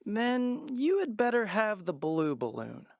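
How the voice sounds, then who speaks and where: A woman's voice: medium pitch, huge pitch swings, unhurried, creaky, a little mellow, no room sound, clean studio sound, full character voice. A woman playing a kind, curious, sensible young boy in a children's audio drama, straightforward and questioning. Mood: curious, friendly.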